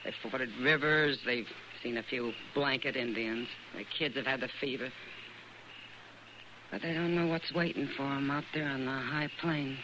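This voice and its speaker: World-weary frontier saloon woman, plain-spoken and wry, vintage radio drama with clear noise. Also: a bass voice, unhurried. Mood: pensive. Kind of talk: old-time radio Western acting. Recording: noisy vintage radio recording.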